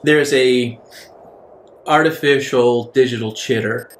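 A man running a tabletop role-playing game as game master, improvising narration in a halting, deliberate way with dramatic pauses. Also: mid-range voice, fairly even intonation. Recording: roomy echo with some background noise.

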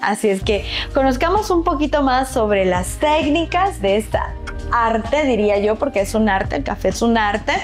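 A woman's voice: on the high side, expressive, normal speed, faint music behind. A woman in her forties with a Salvadoran Spanish accent, hosting a promotional interview in a small café, talking effusively in long lists. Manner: enthusiastic, effusive, many list-style phrases. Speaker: host.

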